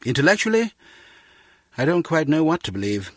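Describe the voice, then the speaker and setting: Courteous, husky spymaster. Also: male voice, on the high side, sing-song, no echo, clean studio sound. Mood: sober, sincere. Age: middle-aged. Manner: measured, formal, gentlemanly. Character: courteous middle-aged British spymaster, encouraging ex-field agent. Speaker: spy agency chief. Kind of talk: video game voice acting.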